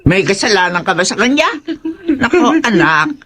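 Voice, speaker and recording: A woman's voice: mid-range voice, sing-song, normal speed, fairly bright tone. A woman playing a scolding, skeptical mother in a horror audio drama, nagging and questioning. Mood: dismissive, chiding.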